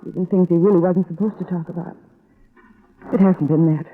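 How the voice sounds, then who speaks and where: Actress doing anxious wife in old radio detective show, halting delivery, dull muffled audio. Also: female voice, lowish voice, steady tone, lightly acted. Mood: troubled, hesitant. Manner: hesitant, halting. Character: worried, embarrassed wife of a missing detective. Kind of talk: old-time radio drama acting.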